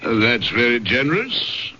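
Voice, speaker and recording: An actor playing a big, hearty, polite English gentleman with a clipped, courteous manner in an old-time radio drama. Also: medium pitch, very animated, a little slow, muffled recording, heavily acted. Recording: noisy band-limited old radio recording.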